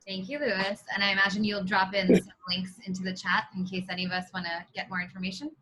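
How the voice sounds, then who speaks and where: A woman hosting an online talk, polite and measured as she thanks and questions a guest on a video call. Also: medium pitch, little pitch movement, noisy background. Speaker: host.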